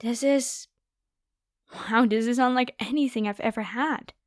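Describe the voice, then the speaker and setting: A woman's voice: a little high, steady tone, unhurried, no room sound, clean studio sound, lightly acted. A woman playing a haughty, predatory noble vampire girl in an ASMR roleplay, with a taunting, playful, theatrical delivery. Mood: amazed, demanding.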